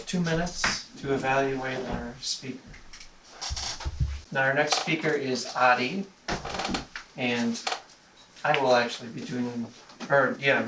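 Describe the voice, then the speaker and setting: A man hosting a club meeting, introducing a speaker semi-formally in a loose, self-correcting way. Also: medium pitch. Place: meeting room, recorded from a distance. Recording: echoey room, distant mic, clear noise.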